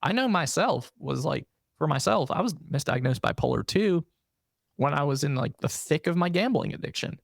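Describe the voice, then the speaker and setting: A man's voice: a little high, lively intonation, moderate pace, vocal fry, no echo, studio quality. A man hosting a podcast, talking in an earnest, personal way and often checking in with "right?". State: briefly losing his voice. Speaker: podcast host. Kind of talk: casual interview conversation.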